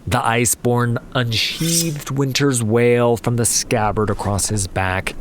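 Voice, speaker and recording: A man's voice: medium pitch, steady tone, slow pace, a little hiss or hum. A man narrating a fantasy story in a dramatic, scripted audiobook style. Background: music.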